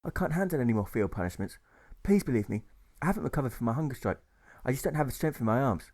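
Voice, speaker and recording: A man's voice: mid-range voice, expressive, fast talker, darkish tone, natural voice. Man as meek, apologetic prisoner Alfred. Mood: weary pleading. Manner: polite, earnest, apologetic.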